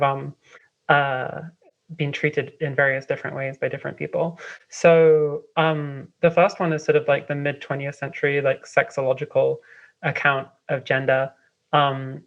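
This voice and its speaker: A woman with a London accent giving casual, discursive, hesitant explanations as an interview guest. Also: low voice. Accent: London English. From London, England. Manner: hesitant, discursive, frequent "like" and "kind of". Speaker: interview guest, writer and editor. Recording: slightly dull lo-fi audio.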